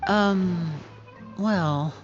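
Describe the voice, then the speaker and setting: A woman voicing a polite, charming young male stage magician in a video game, with smooth, theatrical phrasing. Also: fairly low pitch, very slow, muffled recording, faint music behind. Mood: hesitant.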